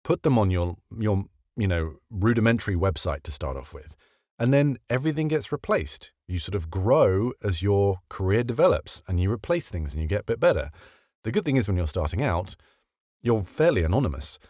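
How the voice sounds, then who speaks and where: Low-voiced man giving casual advice. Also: sing-song, moderate pace, a little mellow, no echo, studio quality. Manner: conversational, rhetorical questions, emphatic repetition. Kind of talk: casual explanatory talk to camera.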